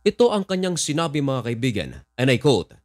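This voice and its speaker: A man's voice: medium pitch, very animated, fairly quick. A man with a Filipino accent reading scripted news commentary, explaining events and addressing listeners directly.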